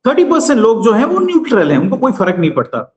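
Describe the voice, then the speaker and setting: A man, a guest commentator, explaining politics and history in a measured, lecturing way with pauses. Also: high voice, huge pitch swings, echoey room, muffled recording.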